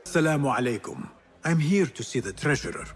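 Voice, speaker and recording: An actor playing a composed, guarded young thief posing as a trader in a video game, polite. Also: male voice, on the high side, lively intonation, fairly quick, background noise. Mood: calm, probing. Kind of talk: cinematic video game dialogue.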